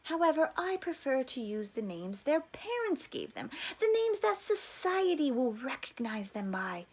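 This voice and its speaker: A woman's voice: high-pitched, big pitch swings, measured pace, voice pushed up, exaggerated voice. Woman reading as an earnest, patronizing male camp counselor. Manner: encouraging, patronizing.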